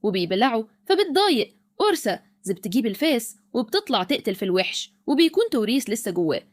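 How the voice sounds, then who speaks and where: A woman's voice: a little high, sing-song, fast talker, no room sound, studio quality. A woman with an Egyptian Arabic accent narrating a scripted recap of a film.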